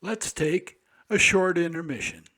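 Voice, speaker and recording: A man's voice: fairly high pitch, very animated, unhurried, sharp tone. A man hosting a radio show, reading scripted background information.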